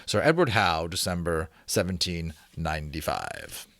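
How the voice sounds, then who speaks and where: A man voicing a pompous, learned gentleman scholar in a dramatic storybook reading, formal and emphatic as he lectures. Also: sits low, a little slow, dry recording, pitched below the performer's own voice. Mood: pompous, indignant.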